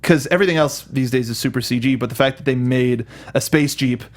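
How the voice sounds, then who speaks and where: A man with a mid-pitched voice co-hosts a film review show. He talks in a casual, informal way, like someone keen to recommend what he enjoys. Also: fast.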